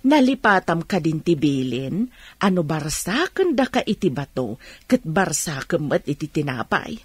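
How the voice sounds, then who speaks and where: Radio drama mother, Ilocano accent: patient, kind, moralizing, lively intonation, close dry sound. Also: low voice, measured pace, slightly rough, no echo, full character voice. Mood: patient, counselling. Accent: Filipino, Ilocano. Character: patient, kind, gently counselling Filipino mother.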